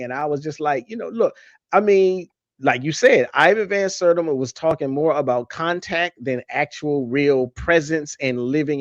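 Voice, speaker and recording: A man's voice: fairly high pitch, dry recording. An American man in a casual online discussion, rambling as he retells things and quotes himself. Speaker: online commentator. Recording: slightly dull, compressed online audio.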